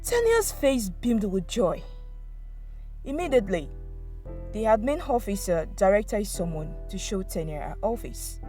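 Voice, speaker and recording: A woman's voice: mid-range voice, very animated, a little slow, warm tone, faint music behind. A woman narrating a scripted dramatic folktale as a storyteller.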